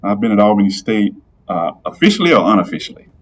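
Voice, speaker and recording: A man from Georgia with a deep baritone voice, telling his story as a guest in a casual interview with clear announcer diction. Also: sing-song, fast talker, recorded from a distance. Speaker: guest, live streamer and football PA announcer.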